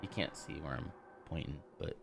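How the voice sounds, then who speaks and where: A man's voice: moderate pace, heavy background noise. A man streaming a game, joking and chatting as he plays, laughing often. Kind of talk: casual let's play commentary.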